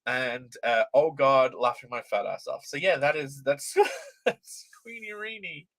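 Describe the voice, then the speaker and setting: A man's voice: medium pitch, unhurried, vocal fry, a bit bright, no echo. A young man from Britain with a light British, transatlantic-tinged accent, chatting casually on a livestream, reading chat aloud, swearing freely and laughing often.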